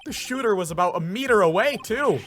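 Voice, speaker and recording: Man voicing an excitable, high-pitched young lawyer. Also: fairly quick, faint music behind. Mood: flustered, urgent. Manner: exclamatory, easily flustered. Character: earnest, excitable young male defense lawyer. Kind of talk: video game character voice.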